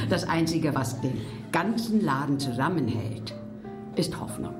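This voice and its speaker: A woman's voice: low-pitched, lively intonation, measured pace. A woman playing a glib, cynical and persuasive political strategist in a conversational drama scene. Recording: roomy echo, music behind.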